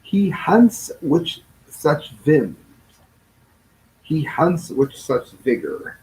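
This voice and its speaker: A man's voice: on the high side, big pitch swings, drawn-out words, darkish tone, low-quality recording. A man reading a children's rhyming storybook aloud in a theatrical sing-song way, stretching phrases and sometimes stumbling over words. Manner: stumbles and corrects himself while reading. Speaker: storybook reader.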